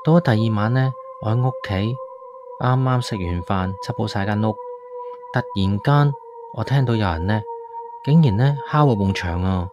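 A man's voice: on the low side, moderate pace, muffled recording, natural voice. A man with a Hong Kong accent playing an uneasy city tenant, narrating a ghost story in a conversational, confiding way for a radio drama. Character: uneasy male city tenant moved to an old village house. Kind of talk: first-person ghost story narration, colloquial. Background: background music.

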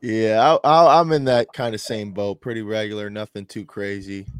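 Smooth-voiced young podcast host. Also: male voice, lowish voice, steady tone, normal speed, no room sound. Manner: upbeat, loose, laughs easily. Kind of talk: casual podcast chat.

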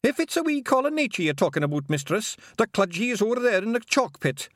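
An eager young pixie lad with a thick Scottish lilt chatters high and fast, as a man puts him on. His voice leaps about with dutiful excitement. The recording is close and dry. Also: dry recording. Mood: chirpy.

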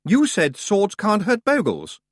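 A man's voice: sits high, huge pitch swings, a little slow, no room sound, studio quality, voice pushed up, full character voice. A man voicing an earnest, somewhat anxious young male hero in an audiobook. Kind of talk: audiobook character voice.

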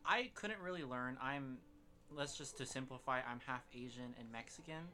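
A man's voice: medium pitch, normal speed, bright, forceful tone. A laid-back young man joking around in a casual podcast chat. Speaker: podcast co-host.

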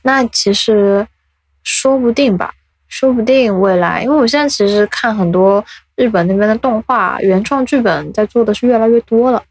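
A woman's voice: on the high side, normal speed, no room sound. A woman hosting a casual interview, putting her questions and thoughts in a tentative, thoughtful way. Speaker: podcast host.

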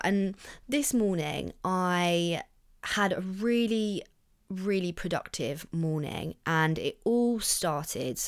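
Croaky, chatty woman host. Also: on the low side. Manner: chatty, upbeat, swears casually. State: croaky, husky voice from illness. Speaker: podcast host. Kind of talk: casual solo podcast chat.

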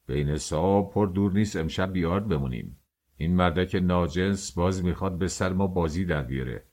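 A man's voice: deep voice, a little mellow. A man voicing a middle-aged male master baker who gives orders to his worker in a commanding, teasing way. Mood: irritated.

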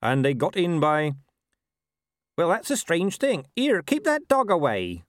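A man's voice: on the high side, huge pitch swings, a little slow, no echo, studio quality, pitched above the performer's own voice. A man voicing a nervous, grudging hen farmer with rustic country speech, from a storybook. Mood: wary.

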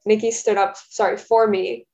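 A woman's voice: medium pitch, flat delivery, normal speed, a little mellow. A young woman, Russian her first language, answering interview questions in a hesitant, thoughtful way with many fillers, at home. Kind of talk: casual interview. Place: home, roommate nearby. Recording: dull lo-fi recording.

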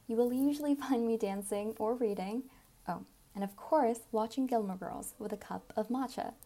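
An eighteen-year-old woman from South Korea hosts a podcast and reads a prepared introduction in a friendly, even way. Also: on the high side, normal speed. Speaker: podcast host and founder.

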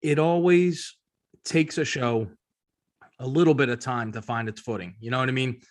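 A man's voice: medium pitch, fairly even intonation, moderate pace. A man co-hosting a podcast, talking casually and offering his opinion. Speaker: podcast co-host.

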